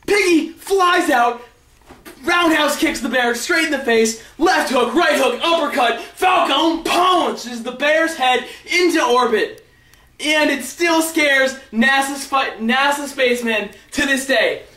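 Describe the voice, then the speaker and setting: A male storyteller with a notably high voice, heard from a distance in an echoey room. He rambles through comic tales with excitable, dramatic build-ups. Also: slow. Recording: roomy echo, far from the mic.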